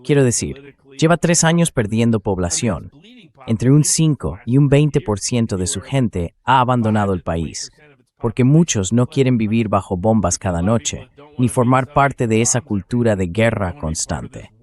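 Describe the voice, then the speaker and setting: A man's voice: fairly low pitch, lively intonation, a little slow, a little mellow. A synthetic male voice reading a translated political commentary as a voice-over. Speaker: interview guest analyst, dubbed translation.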